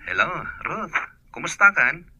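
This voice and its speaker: A man's voice: fairly high pitch, very animated, a little slow, bright, forceful tone, breathy edge, faint music behind, exaggerated voice. A man playing a fond young overseas worker in a radio drama, with a Filipino Ilocano accent, heard through a telephone filter. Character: young man working abroad, fond and casual. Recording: muffled band-limited phone line.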